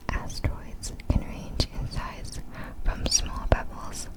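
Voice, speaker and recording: A woman whispering ASMR narration of facts in a breathy, fully unvoiced whisper, with careful, deliberate phrasing. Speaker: ASMR creator.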